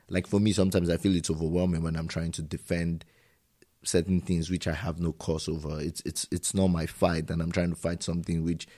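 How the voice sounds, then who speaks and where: A man with a deep, low-pitched voice and a dark, mellow tone. He hosts a casual conversation and speaks earnestly and reflectively, drawing on his own life as an immigrant. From immigrant living in Germany. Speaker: host.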